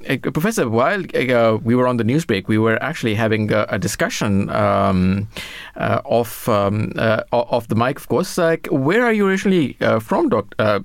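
A man hosts a live radio talk show, his voice gravelly with a fry at the ends of phrases. He hesitates a lot and restarts his sentences often. The studio sound is close and dry. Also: mid-range voice, no room sound. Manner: hesitant, many fillers and restarts. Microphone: studio microphone.

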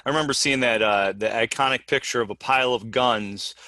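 A man's voice: medium pitch, fairly quick, pushed and bright, no echo. A man co-hosting an interview show, chiming in agreeably with short remarks. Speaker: co-host. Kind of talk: podcast interview.

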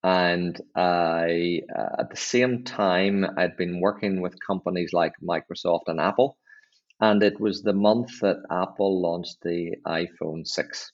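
A middle-aged man with a Northern Irish accent, explaining things in a measured, conversational way as an interview guest on slightly dull, lo-fi audio. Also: sits low. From Northern Ireland. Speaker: chief executive, podcast guest.

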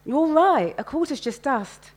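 Woman doing a breezy, higher-voiced teasing London teen girl. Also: expressive, moderate pace, a little mellow. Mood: breezy, dismissive.

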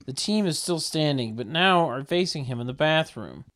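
Deadpan man reading stage directions. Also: a little high, moderate pace. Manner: deadpan, mocking asides. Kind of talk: sarcastic table read of a sitcom script.

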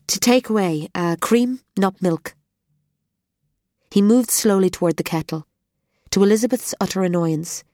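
A woman narrating an audiobook in a measured, expressive storytelling manner, recorded in a studio. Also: fairly low pitch, no echo.

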